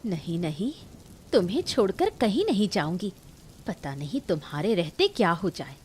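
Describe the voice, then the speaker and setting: A woman's voice: on the low side, very animated, normal speed, darkish tone, a little hiss or hum. A woman voicing a cruel, quarrelsome young daughter-in-law in a cartoon melodrama, taunting and threatening harshly. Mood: scornful, angry. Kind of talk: Hindi cartoon family melodrama.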